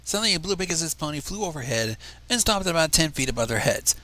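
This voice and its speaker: A man's voice: fairly high pitch, very animated, fast, pushed and bright. A man reading fan fiction aloud on a livestream, narrating expressively and dropping into casual asides. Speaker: livestream reader.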